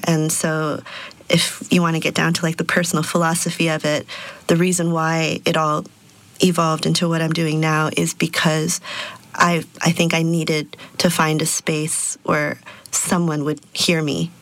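A woman's voice: low-pitched, a bit bright, dry recording. A woman in her thirties, an artist born in Peru and raised in Texas, answering interview questions in a reflective way with many fillers. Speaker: sound artist and DJ.

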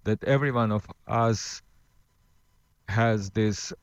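A man's voice: on the low side, takes it slowly, smooth, dry recording, dull audio. A man talking reflectively in an interview.

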